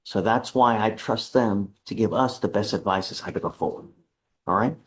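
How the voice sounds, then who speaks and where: Man, judge, lowish voice, measured, stern, patient, courtroom. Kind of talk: courtroom hearing remarks.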